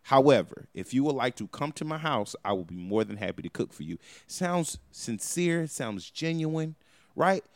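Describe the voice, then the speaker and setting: A man hosting a podcast, talking in a loose, animated way and swearing often. His voice sits at an ordinary middle pitch. The sound is close and dry, with no room echo. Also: normal speed. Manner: animated storytelling, swears freely. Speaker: podcast host. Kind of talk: casual chat.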